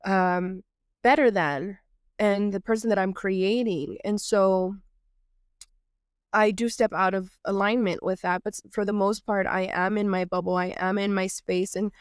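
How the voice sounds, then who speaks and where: A woman's voice: mid-range voice, fairly even intonation, unhurried, smooth, no echo, clean studio sound. A woman from Texas hosting her own podcast, sounding nasal from a cold and talking in an earnest, reflective way with loose, improvised phrasing. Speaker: podcast host.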